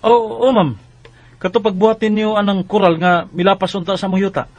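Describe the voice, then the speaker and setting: A Filipino actor with a Visayan accent playing an indignant, protective grown son from a poor family in a radio drama. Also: male voice, high voice, steady tone, fairly quick, dry recording. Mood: indignant, disbelieving. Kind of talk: Bisaya radio drama acting and narration. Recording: radio drama with faint music behind. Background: faint music.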